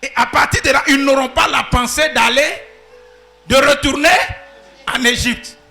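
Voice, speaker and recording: A man preaching a sermon as pastor, swinging from shouted peaks to quiet asides. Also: extremely high pitch, steady tone, normal speed, gravelly, faint music behind.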